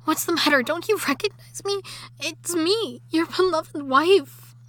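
A woman voicing an obsessive, jealous wife who has come back from the dead, in an audio drama that moves between intimate whispering and shrill shouting. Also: a little slow. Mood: frantic, possessive. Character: obsessive jealous undead wife, possessive and unstable.

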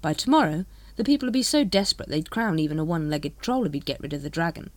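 A woman's voice: low-pitched, expressive, fast talker, no echo. A woman voicing a scheming male secret society leader brooding with contempt. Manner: scheming, contemptuous inner thoughts.

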